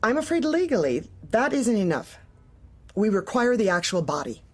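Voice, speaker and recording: A woman's voice: mid-range voice, big pitch swings, moderate pace, husky. A woman playing a manipulative, officious corporate manager in a darkly comic audio drama, speaking with bland politeness and veiled menace. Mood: politely insistent. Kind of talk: audio drama acting, dark comedy.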